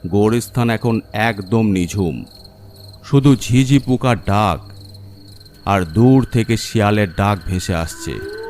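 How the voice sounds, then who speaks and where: A man narrating a scripted horror story in a suspenseful, deliberate manner. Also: lowish voice, a little mellow, slight background noise.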